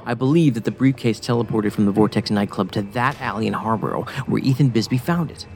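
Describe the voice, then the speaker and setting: A man's voice: lowish voice, fast, gravelly, a little mellow. A man playing a stubborn, sardonic federal agent in a science fiction audio drama, speaking dryly and insistently. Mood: intent, dry. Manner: dry, insistent, sarcastic. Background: music.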